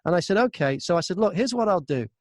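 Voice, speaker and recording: A man talking casually as an interview guest, telling anecdotes in an informal, animated way from a tiny home studio. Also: high-pitched, fast talker, dry recording. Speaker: voice-over artist and audiobook narrator, interview guest. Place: very small closet converted to a studio.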